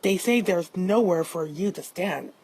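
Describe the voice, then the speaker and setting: Casting: loyal storybook engine driver, chummy and matter-of-fact, slightly husky; a woman reads him. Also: fairly low pitch, a little slow, darkish tone. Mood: glum. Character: loyal, plain-spoken male engine driver.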